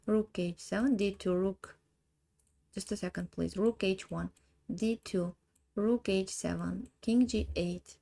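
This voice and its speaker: Armenian woman in her 30s, chess streamer, slow halting delivery, dark gravelly tone. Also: medium pitch, fairly even intonation. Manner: thinks aloud, slow halting English. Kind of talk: live chess puzzle stream.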